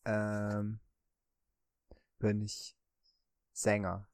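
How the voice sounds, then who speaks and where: A man co-hosting a podcast, chatting casually through a guessing game and often pausing on drawn-out fillers. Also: fairly low pitch, monotone, darkish tone, clean tone, dry recording. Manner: hesitant, many drawn-out fillers. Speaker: podcast co-host.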